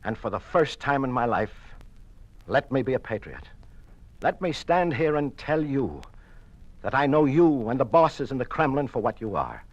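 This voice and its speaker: An actor playing a conflicted American communist politician in an old-time radio drama, speaking in an earnest, declamatory, halting way. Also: male voice, mid-range voice, very animated, no echo, muffled recording. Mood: impassioned defiance. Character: conflicted American communist politician turning defiant against his bosses.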